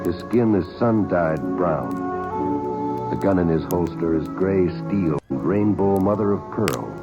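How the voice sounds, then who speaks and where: A man's voice: sits low, unhurried. A man announcing network programs in a formal 1950s radio announcer style. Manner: formal, sweeping announcer delivery. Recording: dull lo-fi vintage radio broadcast with loud music.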